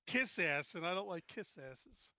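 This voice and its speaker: Male player, higher bright voice, casual and hesitant, dull lo-fi mic. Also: expressive, a little slow, no room sound. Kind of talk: playing a character at a tabletop game.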